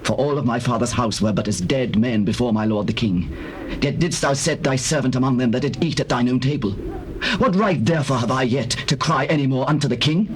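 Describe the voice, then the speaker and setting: An actor playing a humble lame prince, submissive and earnest, in dramatized scripture. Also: male voice, mid-range voice, brisk, close to an everyday voice. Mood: humble pleading. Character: humble lame prince pleading before his king. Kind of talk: dramatized scripture, classical stage acting. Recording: noisy old cassette tape.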